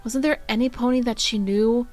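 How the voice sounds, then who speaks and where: A woman reading fan fiction aloud as an expressive storybook narrator. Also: on the high side, moderate pace. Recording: music under the voice.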